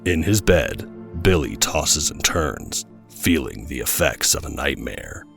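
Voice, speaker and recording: A man's voice: very deep voice, expressive, takes it slowly, creaky, loud music behind the voice, full character voice. A man playing the solemn narrator of a superhero audio drama, reading scripted scene descriptions dramatically. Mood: solemn, dramatic.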